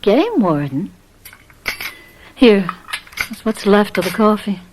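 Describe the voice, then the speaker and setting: An American actress playing a downtrodden, uneasy young wife on a farm in a radio drama. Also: female voice, mid-range voice, very animated, takes it slowly, noisy background. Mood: resigned.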